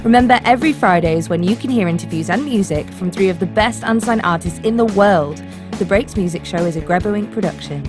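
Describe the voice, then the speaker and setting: Female promo announcer, mid register, scripted read, loud rock bed behind. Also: mid-range voice, fast talker. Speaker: show announcer. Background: rock music with guitar and drums.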